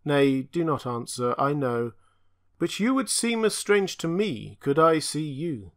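A man's voice: on the high side, sing-song, unhurried, pitched above the performer's own voice. A man voicing an ancient, blind, tortured alien being that speaks in a raised, mournful, chanting way. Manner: lamenting, chanting, raised and pleading.

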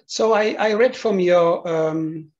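A middle-aged man speaks slowly over dull, lo-fi video call audio, with a slightly higher voice than most men. He phrases his questions carefully and hesitantly. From Finland. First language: Finnish. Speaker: interviewer and channel host.

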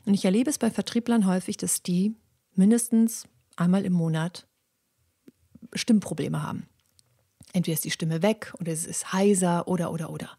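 A woman hosting a podcast, explaining how the voice works in a lively, chatty monologue with many fillers and repeats. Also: mid-range voice, husky. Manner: lively, acts out little dialogues in quick voices; frequent fillers and repeated words. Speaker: podcast host and voice coach.

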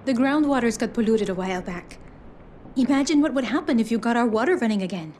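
Actress as a guarded, higher-voiced young woman. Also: animated, fast, darkish tone, a little hiss or hum, natural voice. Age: young adult. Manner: dry, dismissive, evasive. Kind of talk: video game cutscene acting.

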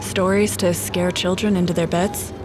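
An actress playing a determined young agent in an audio drama, speaking urgently over a music bed. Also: female voice, on the low side, level tone, moderate pace, close to an everyday voice. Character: determined young woman federal agent hunting a killer.

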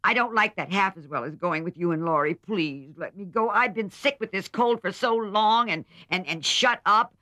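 A man's voice: moderate pace, hardly any pauses, bright, forceful tone, no room sound. A man voicing a young girl who coaxes and whines in a storybook reading. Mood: pleading, petulant. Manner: coaxing, high and whining. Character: young girl, whiny and pleading.

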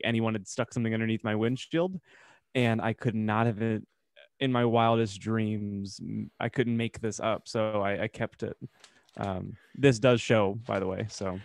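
A man co-hosts a podcast in a casual, bantering way. His voice sits fairly low. The sound is dry and close, as from a mic on a video call. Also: no room sound. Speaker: podcast co-host. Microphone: close microphone with headphones, video call.

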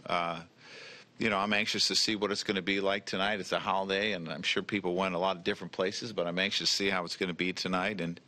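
Low, bright-voiced baseball manager at presser. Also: male voice. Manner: measured, repeats words when starting answers. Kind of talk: press conference answers. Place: press room in front of a team backdrop. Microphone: table mic with a logo flag.

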